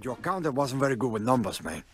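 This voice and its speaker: A man's voice: mid-range voice, very animated, quick pace, a bit bright, soft background music. An actor playing a brash, hot-tempered male drug lord in a video game cutscene, with a profane, swaggering way of talking. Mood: cocky. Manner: swaggering, profane, cursing constantly.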